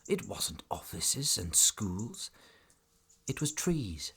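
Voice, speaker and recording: A man's voice: lowish voice, big pitch swings, takes it slowly, creaky, sharp tone, husky, exaggerated voice. A man narrating a family audio drama as a scripted storybook tale, dramatic and whimsical.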